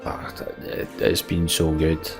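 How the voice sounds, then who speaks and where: Deep, slow-talking man with gravelly voice. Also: completely flat delivery. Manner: halting, sighing, wistful. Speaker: let's play host. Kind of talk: casual game commentary, reflective. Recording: music behind the voice. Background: game soundtrack music.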